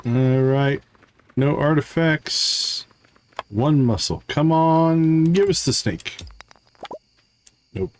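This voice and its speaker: A man's voice: fairly high pitch, very slow, pauses often, slight background noise. A man hosting a gaming livestream, thinking aloud in casual, chatty commentary as he plays.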